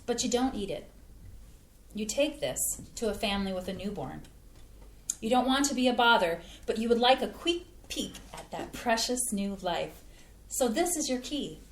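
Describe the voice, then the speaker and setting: A woman plays a sincere, homespun mom and home cook in a community stage play. Her voice sits fairly high and comes through an echoey room with some noise behind it. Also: very animated, moderate pace. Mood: tender, earnest. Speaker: actor in a staged play reading. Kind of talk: scripted community theatre acting. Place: indoor playhouse staged reading.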